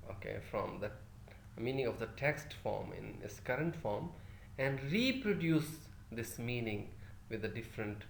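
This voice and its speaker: A man's voice: medium pitch, vocal fry, echoey room, a little hiss or hum. A male lecturer whose first language is Urdu, teaching a class with many fillers and restarts and putting questions to his listeners.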